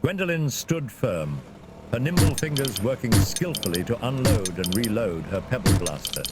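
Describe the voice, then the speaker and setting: A man's voice: mid-range voice, sing-song, moderate pace, over loud music. A man narrating a scripted fantasy adventure in a dramatic storytelling style. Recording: clear vehicle and ambience noise behind.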